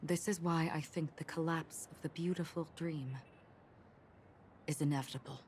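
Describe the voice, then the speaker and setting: An actress voicing a calm, forgetful young swordswoman in a game, speaking quietly and half-whispered. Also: sits low, normal speed, slight background noise, natural voice. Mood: wistful. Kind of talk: game voice acting. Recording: game audio with music underneath.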